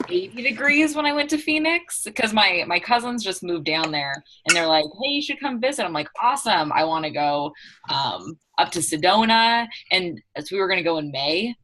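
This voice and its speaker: A woman from eastern Washington State, telling rambling, animated stories in a casual group chat over a video call. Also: lowish voice, moderate pace, a little hiss or hum, poor audio.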